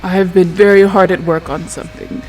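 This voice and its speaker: A performer as obsessive masked genius, brooding, hushed, intense, wounded, tabletop drama, music underneath. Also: medium pitch, little pitch movement, a little fast, natural voice, rough recording. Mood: guarded, brooding. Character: Phantom, obsessive, secretive, wounded male genius.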